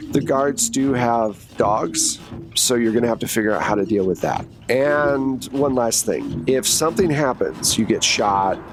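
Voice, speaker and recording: A man's voice: medium pitch, expressive, measured pace, music in the background. A man voicing a professional woman handler in a tabletop game, briefing in a matter-of-fact, conversational way. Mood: businesslike.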